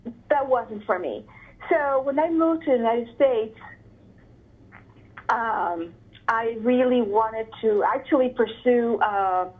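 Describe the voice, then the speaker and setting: A woman from Ecuador answering interview questions, hesitant, with many fillers and frequent throat clearing. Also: fairly high pitch. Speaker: interviewee, artist. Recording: phone-like narrow sound.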